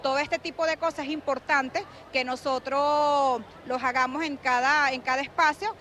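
A woman interviewed at a community event outdoors, speaking haltingly and searching for her words. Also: sits high, fairly even intonation, a bit bright, slight background noise. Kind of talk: interview soundbite.

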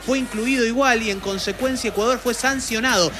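A man giving measured, analytical football commentary on the radio. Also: very high voice, music in the background.

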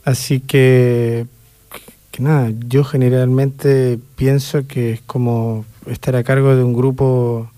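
A man with a Chilean accent, speaking as an orchestra conductor in a radio interview. His voice sits at a middle pitch and is recorded dry and close. He speaks thoughtfully and pauses often on fillers. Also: flat delivery, warm tone, dry recording. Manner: thoughtful, hesitant with many fillers.

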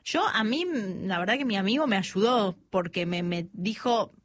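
A woman's voice: medium pitch, animated, normal speed, slight background noise. A woman with an Argentine accent hosting a radio interview, talking casually and searching for words. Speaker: radio host.

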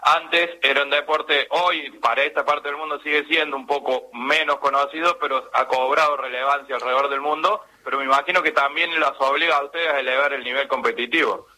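A man's voice: fairly high pitch, steady tone, fairly quick, hardly any pauses, pushed and bright, low-quality recording. A man asking a long, hesitant question on the radio. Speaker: radio reporter.

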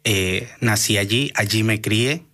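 Guest, Venezuelan man, 30s, lowish pitch, bright and forceful tone, earnest with lots of fillers. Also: a little slow. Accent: Venezuelan Spanish. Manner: earnest, many fillers and restarts. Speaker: guest, doctor and activist. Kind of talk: conversational interview answers.

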